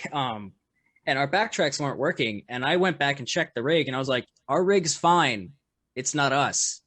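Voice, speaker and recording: A man, a musician guest on a podcast, with a loud voice, telling a story in casual chat full of fillers and restarts. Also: medium pitch, lively intonation, normal speed, no room sound. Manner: rambling, lots of fillers and restarts, laughs often.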